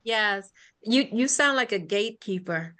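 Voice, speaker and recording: Bright-voiced woman podcast host. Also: mid-range voice, expressive, measured pace. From Brooklyn, New York. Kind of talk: faith-based podcast conversation.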